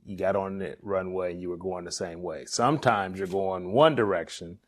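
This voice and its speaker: A man with a deep, low-pitched voice hosts a casual interview. He sounds conversational as he puts his questions, and he follows attentively with short murmured acknowledgements. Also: moderate pace. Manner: attentive, frequent short backchannels. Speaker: interviewer, podcast host.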